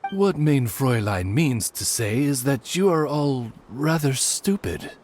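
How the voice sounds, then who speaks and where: A man's voice: medium pitch, lively intonation, a little slow, a little mellow, a little hiss or hum, lightly acted. A man playing a loyal raven servant in a video game, speaking with formal, polite, dryly witty deference.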